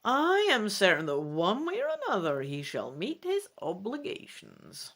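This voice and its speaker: A woman's voice: medium pitch, huge pitch swings, a little slow, a bit bright, exaggerated voice. A woman voicing a mysterious, confident old woman who sells magic wares, in a cryptic, theatrical storybook reading. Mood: mysterious, assured. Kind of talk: dramatic gamebook reading.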